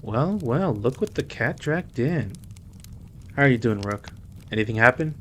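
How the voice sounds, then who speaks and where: A man's voice: mid-range voice, lively intonation, unhurried, a bit bright, a little hiss or hum. A man playing a protective, easygoing mafia boss with a wry streak in an audio roleplay. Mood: friendly, teasing.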